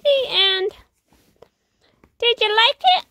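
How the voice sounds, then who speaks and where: Woman doing an innocent, very squeaky little kitten. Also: slow pace, pushed and bright, no room sound, exaggerated voice. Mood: eager, sweet. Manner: childlike, eager. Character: innocent, curious, kind little kitten child.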